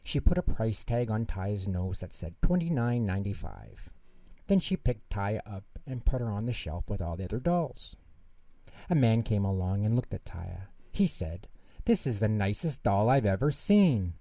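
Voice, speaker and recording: A man reading a children's bedtime story aloud in an animated narrating style. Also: fairly low pitch, normal speed, creaky. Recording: roomy echo, distant mic.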